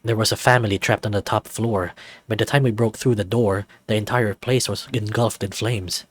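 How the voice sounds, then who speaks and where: A man playing a caring young firefighter in a visual novel, speaking in an earnest, gentle, reflective way. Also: fairly low pitch, steady tone, moderate pace, husky, no echo. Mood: somber, reflective. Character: caring young male firefighter, steady and sincere.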